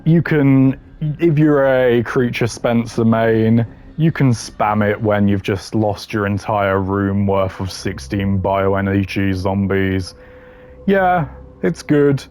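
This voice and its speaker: A man's voice: on the low side, slow, a little mellow. A man giving casual, opinionated gaming commentary in a blunt, slangy way, ranking voice lines. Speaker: gamer ranking game voice lines.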